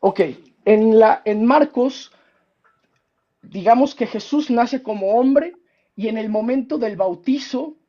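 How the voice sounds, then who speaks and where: A man's voice: extremely high pitch, slow pace, dark tone, muffled recording. A man with a Mexican accent debating as a self-described historian, often repeating himself and talking down with "my friend". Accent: Mexican Spanish. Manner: interrupts, repeats words, patronizing "mi estimado". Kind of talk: heated debate on a call.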